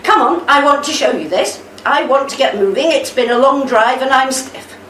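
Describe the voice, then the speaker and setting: A woman acting a calm, gently chiding elderly wife in a radio play. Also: high-pitched, normal speed, reverberant, recorded from a distance, a little hiss or hum. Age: older.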